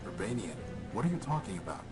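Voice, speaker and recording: An actor playing a stoic, courteous robot wanderer in a video game, speaking in terse, sober questions. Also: male voice, on the low side, very animated, fast. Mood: sober, questioning. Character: reserved, courteous male robot wanderer, the game's stoic hero. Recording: distant voice under loud game music.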